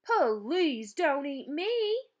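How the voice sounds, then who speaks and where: A woman's voice: high-pitched, big pitch swings, extremely slow, exaggerated voice. A woman voicing a cheeky male otter in a storybook read-aloud, mocking and jeering.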